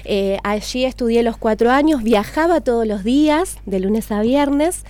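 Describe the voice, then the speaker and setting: A woman's voice: on the high side, lively intonation, unhurried, a little mellow. Chatty Argentine woman explaining things. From Argentina, raised in Buenos Aires and Córdoba province. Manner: chatty, explanatory, long detailed answers. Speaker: teacher being interviewed. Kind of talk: conversational radio interview answers.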